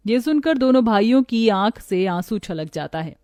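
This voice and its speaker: Brief: gentle female narrator for a cartoon story, mellow and high-ish. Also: expressive, moderate pace, no room sound, faint music behind.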